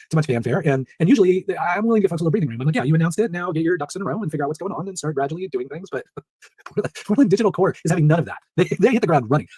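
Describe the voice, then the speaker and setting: A man hosting a solo startup news recap in a chatty, upbeat way, his voice rough, nasal and stuffed up from allergies. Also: fairly high pitch, no room sound. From Pacific Northwest. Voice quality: nasal, monotone. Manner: upbeat, chatty, laughs between items.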